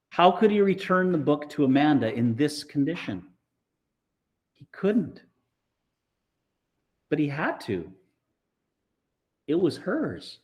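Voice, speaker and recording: A man reading a story aloud in an expressive, deliberate storytelling style, with dramatic phrasing. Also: medium pitch, highs cut off. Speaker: audiobook reader.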